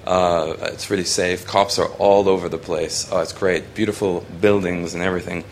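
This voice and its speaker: Young man from Hong Kong, deep low voice, loose rambling delivery over faint background noise. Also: level tone, moderate pace. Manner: rambling, many ums and restarts. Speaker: student photographer presenting his work. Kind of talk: casual spoken presentation.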